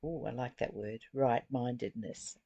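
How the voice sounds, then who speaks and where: Low, unhurried, creaky-voiced woman. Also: warm tone, no echo, lo-fi sound. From Australia. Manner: deliberate reading broken by chatty asides. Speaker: spiritual study host reading and explaining a book. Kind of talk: informal spiritual lesson, reading aloud with commentary.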